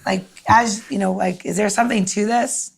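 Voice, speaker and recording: A woman's voice: medium pitch, steady tone, moderate pace, vocal fry, breathy edge. A woman around forty co-hosting a podcast in casual, rambling, enthusiastic chat. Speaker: podcast co-host.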